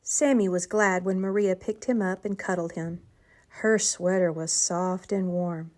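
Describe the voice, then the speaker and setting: A woman's voice: fairly low pitch, steady tone, measured pace, a little mellow. A woman reading a children's storybook aloud, with careful storyteller phrasing meant for young listeners. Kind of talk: animated read-aloud storybook narration.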